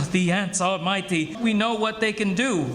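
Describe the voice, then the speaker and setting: A man playing a loyal, respectful plantation foreman in 1940s-style radio drama, halting and earnest. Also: high-pitched, a crowd in the background. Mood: resolute loyalty. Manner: halting, deferential. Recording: live stage show with audience sounds.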